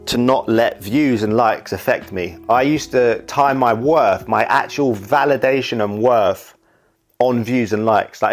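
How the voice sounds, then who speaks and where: A young man talking candidly about his life, rambling and often saying "you know". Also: medium pitch. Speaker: YouTuber and wedding videographer. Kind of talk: reflective casual monologue.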